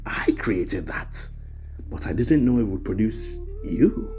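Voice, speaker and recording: A man voicing a curious, cautious male shapeshifting raven creator in a storybook tale, speaking deliberately. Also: lowish voice, animated, darkish tone. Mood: surprised. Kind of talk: storybook character voice. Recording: music under the voice.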